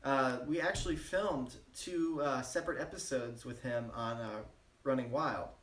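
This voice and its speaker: A man co-hosting a mock-serious joke interview, rambling deadpan with many fillers. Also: medium pitch, normal speed. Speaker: channel co-host. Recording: roomy, distant mic.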